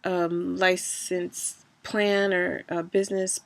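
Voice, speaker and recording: Woman vlogging, stuffy nose from a cold, kinda gravelly, rambles with lots of ums. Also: lowish voice, flat delivery, takes it slowly, dry recording. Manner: rambling, many fillers and restarts. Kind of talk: casual personal vlog.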